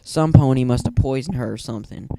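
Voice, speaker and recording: A man's voice: medium pitch, brisk, creaky, noisy background, natural voice. A man voicing a suspicious country farm mare with a rural Southern US accent.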